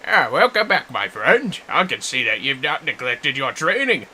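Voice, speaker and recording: A man's voice: mid-range voice, big pitch swings, normal speed, sharp tone, slight background noise, voice pushed up. A man voicing an earnest, wordy warrior mentor in a fantasy game, with a theatrical, formal storytelling delivery. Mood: hearty, apologetic. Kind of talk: fantasy game quest dialogue read aloud.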